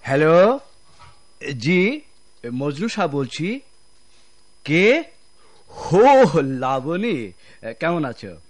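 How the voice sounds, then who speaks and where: An actor playing a smug, sly general manager in a radio drama, hemming and talking with oily sarcasm. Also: male voice, a little high, sing-song, extremely slow, pauses often, heavily acted. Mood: flirtatious.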